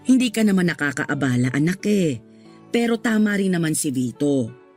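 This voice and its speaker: An actress playing a strict, hot-tempered middle-aged mother in a radio drama, blunt, excitable and quick to scold. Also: low-pitched, faint music behind. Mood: concerned.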